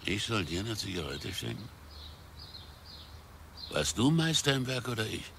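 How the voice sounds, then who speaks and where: A sardonic old retiree in a radio play, low and gravelly with a sharp, pressing edge. He needles his old friend bluntly, with a faint hum behind. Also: sing-song, unhurried, exaggerated voice. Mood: needling. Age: older. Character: prickly old male retiree on a park bench.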